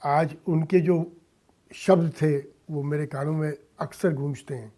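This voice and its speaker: A man giving earnest interview answers in emphatic, halting short phrases, as a guest in a radio studio. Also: a little high, steady tone, warm tone. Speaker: interview guest, industrialist and philanthropist. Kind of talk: earnest interview answers mixing Hindi and English.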